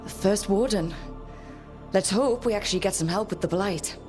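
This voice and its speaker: Mid-pitched voice of an actor, young fantasy hero, determined, direct, game cutscene acting, quiet music behind. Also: moderate pace, natural voice. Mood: surprised. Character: resolute, helpful young fantasy hero and leader. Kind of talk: dramatic video game acting.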